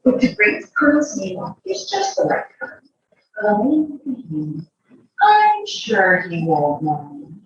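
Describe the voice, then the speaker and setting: A woman reading a picture book aloud to a child, expressive, drawing out words and adding chatty asides. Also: medium pitch, slow, very echoey, recorded from a distance, muffled recording, background noise.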